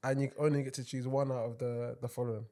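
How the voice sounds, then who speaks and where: A man's voice: mid-range voice, flat delivery, mellow. A man hosting a podcast as a fitness coach, asking questions in a friendly, laughing way. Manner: friendly, laughing, runs quick-fire questions. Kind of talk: casual interview chat.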